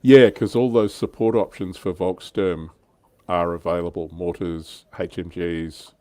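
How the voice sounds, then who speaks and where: A man's voice: low-pitched, fairly even intonation, unhurried, mellow, no room sound. A middle-aged man, hosting a casual livestream chat about tabletop wargaming. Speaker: livestream host.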